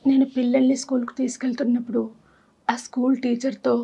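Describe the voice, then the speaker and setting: Female talk show guest, thirties, high voice, giving a personal confession. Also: completely flat delivery, quick pace, creaky, noisy background. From Andhra Pradesh, India. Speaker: guest seeking advice.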